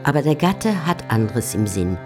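A woman's voice: a bass voice, big pitch swings, moderate pace, over music. A woman giving composed, articulate scripted narration for a literary radio drama.

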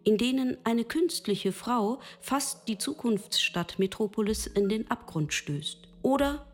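A woman playing a lucid, patient machine in a literary radio play, lecturing the listener directly and deliberately. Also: on the high side, expressive. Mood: measured, explanatory. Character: love-capable female machine, lucid, patient, lecturing philosopher.

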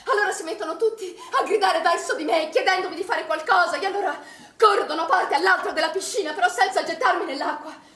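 An actress playing a troubled, grief-haunted middle-aged woman in an Italian stage drama, with anguished, heavily paused delivery. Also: very high voice, a bit bright. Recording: distant echoey stage recording with background noise.

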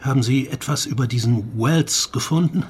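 A man playing a brusque, impatient investigator in a German radio play, with a rough, husky voice. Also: medium pitch, normal speed, a little mellow, slight background noise, close to an everyday voice. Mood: impatient, skeptical. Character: brusque, impatient male investigator giving orders.

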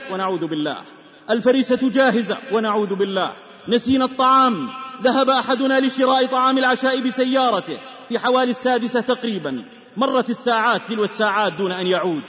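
High-pitched man preaching in long reverb. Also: a bit bright. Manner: solemn, emotive, declamatory. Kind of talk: dramatic sermon with storytelling, rhymed exhortations and verse. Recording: long reverberant hall-like echo on voice.

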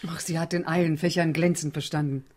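A fallen widow with a distinctly low female voice. She is plaintive and fawning, a mercenary mother speaking formally in old radio drama style. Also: steady tone, a little fast, a little mellow. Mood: obsequious, plaintive. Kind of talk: 1970s German radio play acting.

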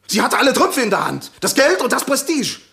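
A man playing a proud, cornered lawyer accused of murder in a German crime radio play, with a husky voice and dramatic, defensive delivery. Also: very high-pitched, wildly swooping pitch, fairly quick, bright, forceful tone, heavily acted. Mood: desperate, bitter. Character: proud, cornered male lawyer accused of killing his wife.

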